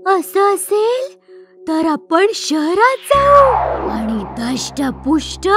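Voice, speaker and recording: A woman voicing a greedy female ghost with slow, drawn-out words in an animated horror story. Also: very high-pitched, pitched above the performer's own voice, full character voice. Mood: greedy, gleeful. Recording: loud music behind the voice.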